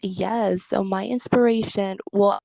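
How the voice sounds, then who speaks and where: A young woman with a low alto voice, answering interview questions in an upbeat, chatty way and laughing often. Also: steady tone, measured pace, vocal fry, darkish tone, dry recording. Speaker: guest, singer and content creator.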